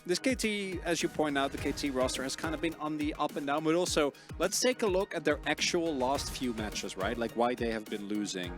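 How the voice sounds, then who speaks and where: A man's voice: on the high side, fairly quick, hardly any pauses, music in the background. A young man giving casual esports analysis, rambling and correcting himself as he goes, with lots of fillers. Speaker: esports caster.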